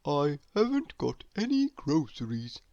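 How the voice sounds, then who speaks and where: A woman's voice: low-pitched, big pitch swings, measured pace, a little mellow, dry recording, highs cut off, pitched below the performer's own voice, heavily acted. A woman voicing a hapless, puzzled male cartoon character from a children's storybook, plain-spoken and bemused. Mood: needy.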